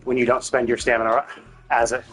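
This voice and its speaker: A man livestreaming a game with casual, rambling commentary, thinking out loud, cutting himself off and swearing freely. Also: mid-range voice, level tone, fairly quick, slightly rough, faint music behind, poor audio. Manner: casually profane. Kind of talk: casual gameplay stream commentary.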